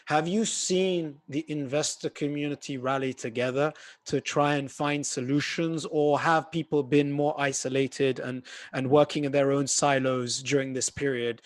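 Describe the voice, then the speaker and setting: A man hosting an interview, asking measured, long framed questions. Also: medium pitch, fairly even intonation, no echo. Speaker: interview host.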